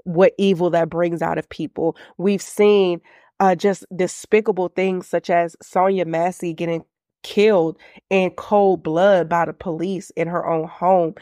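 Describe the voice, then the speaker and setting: A woman's voice: lowish voice, fairly even intonation, measured pace, dark tone, no room sound, clean studio sound. A woman in her thirties hosting a faith-based business podcast, sounding congested and nasal from a cold, speaking earnestly and reflectively. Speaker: podcast host.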